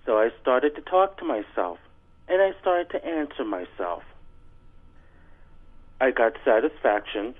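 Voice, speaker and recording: Deadpan man on a tinny phone line. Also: mid-range voice, measured pace, pauses often. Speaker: call-in guest on a radio show. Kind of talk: reading aloud and bantering. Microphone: telephone.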